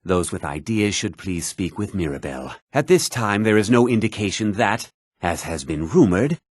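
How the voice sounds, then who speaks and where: Casting: learned college mage for a fantasy game. Lowish, animated pitch, measured and formal delivery. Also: male voice, breathy edge, no echo, studio quality. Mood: formal, lecturing. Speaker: video game character. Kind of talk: scripted fantasy game dialogue.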